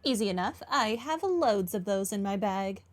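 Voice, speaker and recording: A woman's voice: mid-range voice, lively intonation, moderate pace. A woman gaming streamer giving casual, wry, informal commentary on a playthrough. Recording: game music behind voice.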